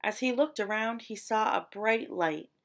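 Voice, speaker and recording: A woman storyteller narrating a children's picture book expressively, with deliberate, dramatic emphasis on descriptive words. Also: medium pitch, vocal fry, a bit bright. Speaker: read-aloud storyteller.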